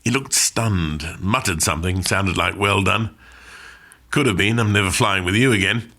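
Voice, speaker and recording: A man's voice: low voice, normal speed, bright, forceful tone, full character voice. An actor playing a modest, wry British airborne veteran telling his war story in understated, clipped phrases for a radio play. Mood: matter-of-fact. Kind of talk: dramatic monologue for a radio play.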